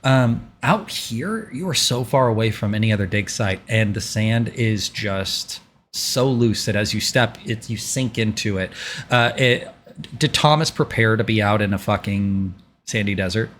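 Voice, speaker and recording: A man's voice: fairly low pitch, a little slow, a bit bright. Casual male game master, noisy background. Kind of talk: improvised tabletop game narration, casual.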